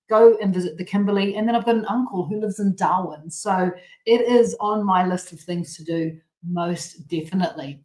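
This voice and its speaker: A woman's voice: mid-range voice, darkish tone, roomy sound, low-quality recording. Bubbly Australian woman in her late forties. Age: late 40s. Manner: bubbly, rambling, with frequent restarts and laughs. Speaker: host of a healthy cooking show. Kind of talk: chatty live-stream greetings and updates.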